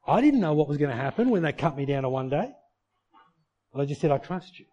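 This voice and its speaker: A man's voice: fairly high pitch, very animated, quick pace, mellow, highs cut off. A middle-aged male pastor preaching a sermon to his church, earnest and full of rhetorical questions.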